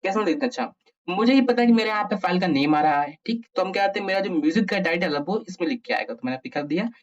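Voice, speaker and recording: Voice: mid-range voice, expressive, racing. An Indian presenter giving an informal coding tutorial, thinking aloud and answering their own questions. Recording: muffled, distant microphone.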